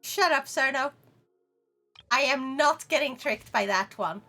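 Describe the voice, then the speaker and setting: A young Swedish woman with a high, bright voice chatting to her viewers as she plays. She teases and banters in a loose, playful way, with faint music running underneath. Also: expressive, a little slow. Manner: chatty and playful, talking to chat while playing. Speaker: streamer. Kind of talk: casual gaming livestream chat.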